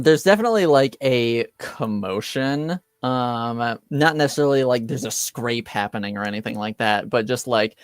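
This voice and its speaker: Slow-talking man as game master, clear voice. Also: medium pitch. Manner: halting, hesitant, searching for words. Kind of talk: improvised narration of a tabletop roleplaying game.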